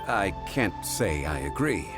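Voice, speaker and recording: An actor voicing a calm, courteous and learned gentleman in a video game, in a measured, formal way. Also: low-pitched, over loud music, full character voice. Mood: calm, reasoned. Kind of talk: scripted game voice acting.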